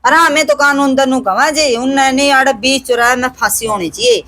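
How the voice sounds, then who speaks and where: A woman's voice: high-pitched, fairly even intonation, brisk, sharp tone. A woman with a Haryanvi accent giving unscripted interview testimony in an emphatic, rustic way, repeating her phrases. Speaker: aggrieved relative being interviewed.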